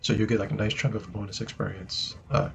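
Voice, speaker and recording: A man with a naturally low voice chatting casually as he streams a game, often asking "right?" and addressing viewers as guys. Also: level tone, fast, creaky, a bit bright, slight background noise. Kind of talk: casual gameplay commentary. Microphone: desk mic he moves around.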